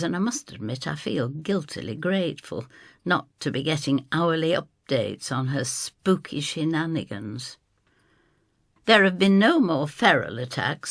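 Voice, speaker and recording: A woman's voice: deep voice, creaky, dry recording, clean studio sound, exaggerated voice. A woman playing a motherly landlady who narrates her own story in a wry, homely, confiding manner. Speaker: first-person narrator. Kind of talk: dramatised scripted storytelling.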